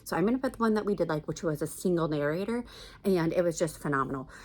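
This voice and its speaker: A middle-aged woman with a slightly low voice speaks casually, as if vlogging about favorite books. Her delivery is enthusiastic and emphatic, in an informal, chatty style. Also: animated, quick pace, a little mellow. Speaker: book vlogger recommending family audiobooks.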